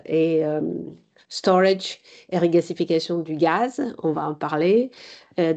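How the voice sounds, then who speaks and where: A middle-aged woman from Italy, speaking as a guest at a conference with measured, hesitant delivery. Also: on the low side, dark tone. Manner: measured, hesitant with many pauses. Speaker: board chair and guest speaker. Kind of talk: conference talk. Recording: slightly dull lo-fi sound.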